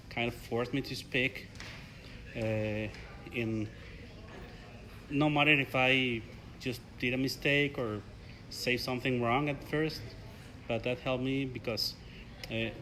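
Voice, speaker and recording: Young mexican guy, spanish accent, lots of ums and restarts, noisy background. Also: mid-range voice. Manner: halting, many fillers and restarts. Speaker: interview guest, ESL learner. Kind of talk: casual interview answers.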